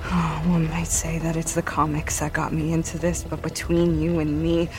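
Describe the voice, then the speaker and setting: A woman's voice: sits low, monotone, moderate pace, over music, lightly acted. A woman playing a wry, confiding wasteland explorer in an audio drama. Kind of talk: audio drama trailer acting.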